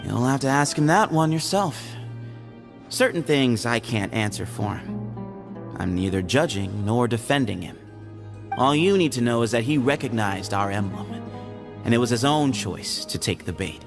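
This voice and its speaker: A playful, evasive young man from a fantasy game. The delivery is lively and glib, with some gravel in the voice and light music behind. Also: mid-range voice, normal speed. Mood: nonchalant. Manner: breezy, teasing, glib. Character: sly, easygoing young male desert dweller, playful and evasive. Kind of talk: video game character acting.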